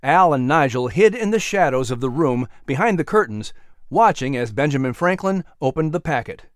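Animated male storyteller, close dry sound. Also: on the high side, moderate pace, no echo. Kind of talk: scripted narration of a children's story.

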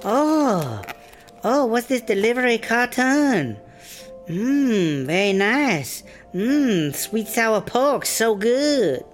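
A tyrannical lady boss in a comedy audio drama, taunting in broken English. She draws her words out slowly and swoops mockingly up and down, with faint music behind. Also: on the high side, sing-song, heavily acted. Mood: taunting, gloating. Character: tyrannical, taunting foreign startup boss woman.

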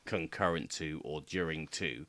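A man's voice: extremely low pitch, measured pace, a bit bright. A man with a British accent co-hosting a casual podcast chat. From United Kingdom. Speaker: podcast co-host.

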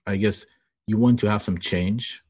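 A man's voice: on the low side, fairly even intonation, a little slow, darkish tone, husky. A man in his forties from Ukraine, a guest in a casual podcast interview, rambling and tagging his sentences with "you know" and "right?". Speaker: guest, IT business owner.

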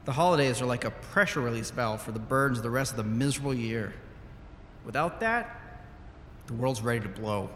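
An actor plays a wry male scientist who takes charge in an audio drama. His mid-pitched voice swings widely, heard in an echoey hall. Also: brisk, natural voice. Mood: weary, philosophical. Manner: wry, expressive. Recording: echoey hall, faint noise.

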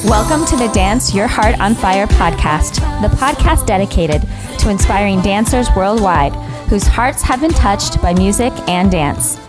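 A woman delivers a scripted podcast introduction. Her voice is of middling pitch. Music plays clearly behind her announcement. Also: animated, a little slow. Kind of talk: scripted promotional narration. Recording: music bed under voice.

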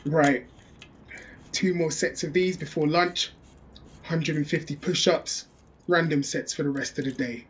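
An actor playing a restless recalled prisoner in an audio drama monologue, plain-spoken, slangy and driven to rebuild himself. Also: male voice, on the high side, steady tone, a little slow, pauses often, a bit bright, poor audio. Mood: determined, frustrated. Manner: plain-spoken, slangy, counting through exercise sets.

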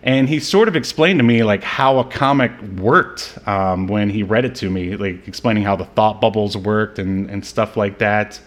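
A man's voice: on the low side, moderate pace, hardly any pauses. A man hosting a podcast in casual chat, rambling and joking with frequent hesitations. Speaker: podcast host. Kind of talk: casual chat about an old superhero movie.

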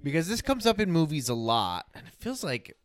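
A person with a deep voice hosting a casual podcast, talking informally and searching for words aloud. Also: big pitch swings, a little fast. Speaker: podcast host.

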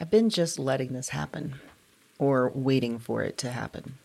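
A woman performing a first-person audio drama monologue, hesitant and halting, often dropping into whispers. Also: very deep voice, vocal fry, darkish tone, breathy edge. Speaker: audio drama narrator and lead.

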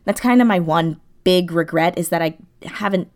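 A woman's voice: on the low side, moderate pace. A woman in her twenties, chatting casually as an interview guest in an enthusiastic, informal way. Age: 20s. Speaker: interview guest, audio drama creator.

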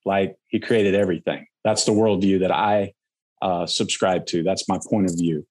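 Earnest man with a lower voice. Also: fairly even intonation, normal speed, a little mellow. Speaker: podcast guest. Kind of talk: casual interview conversation.

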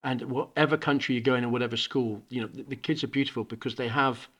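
A man's voice: medium pitch, steady tone, fast, a bit bright. A middle-aged man, a founding school principal, talking earnestly in a conversational podcast interview, with many restarts and repeated words. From UK and Hong Kong.

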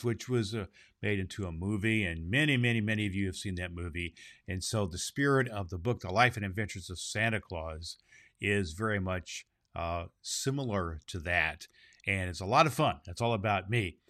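A man's voice: lowish voice, fairly bright tone, natural voice. A man playing jovial Santa Claus hosting for children. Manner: jovial, emphatic, repeats words for effect. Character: jolly, boastful old Santa Claus, male children's show host. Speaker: host of a children's holiday show. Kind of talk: theatrical, conversational show hosting.

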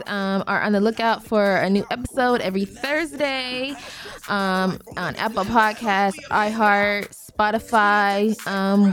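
A woman in her thirties with a squeaky voice, co-hosting a podcast in casual chat and laughing off teasing with many fillers. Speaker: podcast co-host.

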